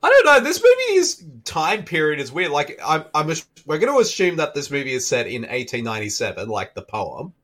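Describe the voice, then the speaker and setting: A man's voice: fairly high pitch, wildly swooping pitch, a little fast, hardly any pauses, a bit bright. A man from Canada riffing casually on a movie, joking and laughing often. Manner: jokey, laughs often, quotes lines in silly voices. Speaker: movie commentary host.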